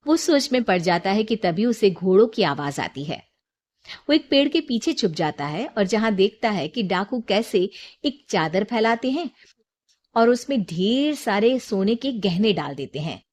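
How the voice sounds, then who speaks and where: A woman's voice: medium pitch, big pitch swings, a little slow, a little mellow. A woman storyteller reading a scripted moral tale as narration.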